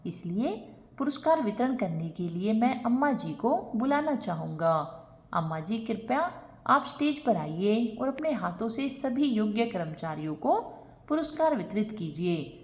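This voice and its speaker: A woman's voice: fairly high pitch, very animated, a little slow, a little mellow. A woman voicing a grateful company director, a devoted son giving a formal, reverent speech in a story.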